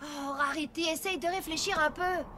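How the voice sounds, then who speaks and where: A woman's voice: high-pitched, fairly even intonation, normal speed, background noise, close to an everyday voice. A woman voicing a blunt, hardworking farm pony mare in a cartoon dub, practical and teasing. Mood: exasperated. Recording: loud cartoon music and rain behind.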